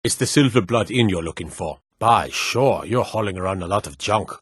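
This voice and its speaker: An actor playing a gruff, watchful fantasy city guard in a video game, speaking in a stern, curt and authoritative manner. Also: male voice, lowish voice, expressive, normal speed, fairly bright tone, no room sound, studio quality. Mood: gruff, matter-of-fact. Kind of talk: scripted fantasy game dialogue.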